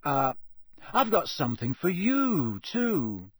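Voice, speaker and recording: A man's voice: on the high side, wildly swooping pitch, takes it slowly, a bit bright, husky, dry recording, exaggerated voice. A man voicing a teenage schoolboy wizard for an audiobook, casual, kindly and a little hesitant. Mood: casual, sheepish. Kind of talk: audiobook character voice.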